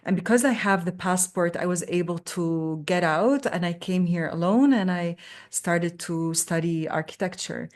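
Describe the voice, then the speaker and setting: A woman in her thirties from Lebanon, a belly dance teacher speaking as an interview guest, explaining things reflectively with many pauses. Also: lowish voice, a little mellow. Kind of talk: conversational interview.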